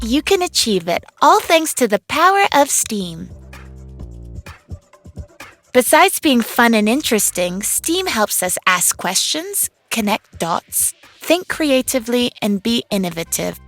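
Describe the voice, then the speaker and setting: A woman's voice: fairly high pitch, a bit bright, heavily acted. A woman voicing an upbeat, confident young girl inventor, telling a children's read-along cartoon story in an enthusiastic, encouraging way. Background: music under the voice.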